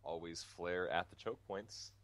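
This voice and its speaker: Very deep-voiced man co-hosting. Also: unhurried, a bit bright, no room sound. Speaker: podcast co-host. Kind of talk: casual podcast chat.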